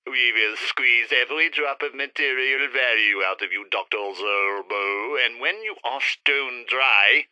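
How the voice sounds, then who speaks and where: An actor playing a towering, slick alien giraffe tycoon, a smooth-talking and calculating showman in a retro sci-fi radio serial. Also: medium pitch, unhurried, few pauses, bright, forceful tone, no room sound, rough recording. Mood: gloating.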